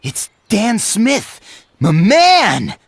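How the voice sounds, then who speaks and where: A man playing an excitable, gloating comic-book writer with a manic, gushing manner in an animated game cutscene. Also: high voice, very slow, gravelly, full character voice. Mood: gushing, amazed.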